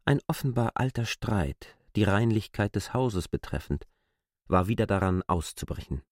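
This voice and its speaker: A man giving expressive scripted storytelling as narrator of a literary radio drama. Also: on the low side, normal speed, no room sound, studio quality.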